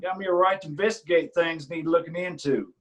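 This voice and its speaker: A man reads a middle-aged country sheriff with a drawl, pitched a little above the usual for a man. He needles the people he questions in a falsely friendly way, and he sounds pleased with himself. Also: steady tone, fairly quick, close to an everyday voice. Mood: smug. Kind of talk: staged play reading.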